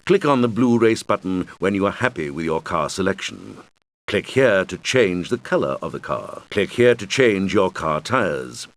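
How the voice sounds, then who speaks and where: A man voicing a suave, showy island owner and racing champion who guides players through a video game in a theatrical, deliberate, instructive manner. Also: low voice, very animated. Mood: helpful, instructive. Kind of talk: scripted game tutorial voice-over.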